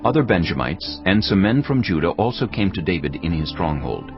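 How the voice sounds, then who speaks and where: Low voiced guy reading the bible as narrator, solemn n measured, kinda muffled w music behind. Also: very animated. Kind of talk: scripted scripture narration. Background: background music.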